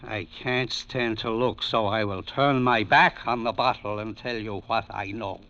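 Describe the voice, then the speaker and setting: An actor playing a grandiloquent, shaky skid row drunk with pompous, florid diction in a 1940s radio drama. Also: male voice, fairly low pitch, steady tone, unhurried, bright, forceful tone. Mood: wheedling, pleading. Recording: dull, band-limited vintage radio recording.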